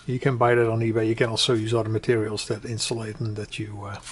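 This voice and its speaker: A man giving a casual, unscripted hobby tutorial, conversational and hesitant, often pausing mid-sentence. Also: lowish voice, little pitch movement, a little hiss or hum. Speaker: hobbyist demonstrating a build. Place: indoors at home.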